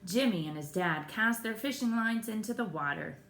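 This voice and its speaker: A woman reading a children's picture book aloud expressively, pausing to explain the pictures to her listeners. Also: medium pitch, expressive, fairly bright tone, slight background noise. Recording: echoey room.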